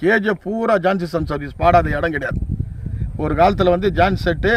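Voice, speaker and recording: A man's voice: high voice, a little fast, background noise. An older man reminisces casually in an interview as a veteran sound operator, deferential and repetitive as he lists things from memory. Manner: deferential, often addressing listener as sir.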